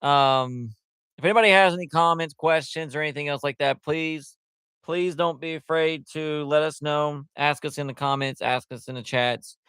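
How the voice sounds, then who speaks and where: A man's voice: on the high side, steady tone, moderate pace, a bit bright, clean tone, no room sound. A man hosting a casual livestream, rambling in a loose, chatty way with lots of fillers, talking into a headset mic. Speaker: livestream host.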